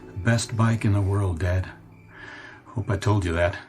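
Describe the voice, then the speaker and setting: A man's voice: on the low side, fairly even intonation, darkish tone, lightly acted. An actor as an earnest, wistful man over music. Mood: wistful, imploring. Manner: earnest, wistful, halting when distressed. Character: troubled nostalgic grown man longing for his boyhood. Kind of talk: radio drama acting. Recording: radio drama with music behind.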